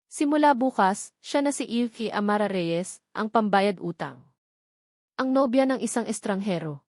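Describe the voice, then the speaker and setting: A woman with a Filipino accent narrates a scripted romantic drama in a measured, dramatic storytelling style. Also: medium pitch, darkish tone, dry recording, clean studio sound.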